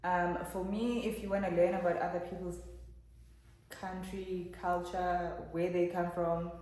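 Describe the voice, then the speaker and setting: A woman's voice: on the low side, level tone, measured pace, a little mellow. A young South African woman guest in a casual chat, telling stories bluntly and frankly. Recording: echoey room with faint noise.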